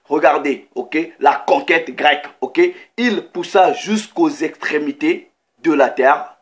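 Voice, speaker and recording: Man preaching, slow deliberate delivery, reads verses aloud, keeps adding "ok". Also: fairly high pitch. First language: French. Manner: reads scripture slowly, breaks in with "ok" and comments. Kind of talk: sermon with Bible reading.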